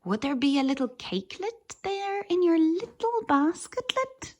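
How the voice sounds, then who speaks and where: A woman's voice: very high-pitched, sing-song, unhurried, voice pushed up, exaggerated voice. A woman reading a children's story, voicing a chirpy small fairy-tale creature that talks in a trilling, coaxing, cutesy way. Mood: wheedling.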